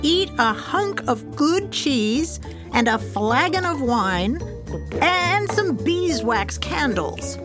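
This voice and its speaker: A woman doing a high, bright storybook duck. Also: very animated, slow, music in the background, full character voice. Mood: cheerful. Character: chatty high-voiced duck, cheerful and clever.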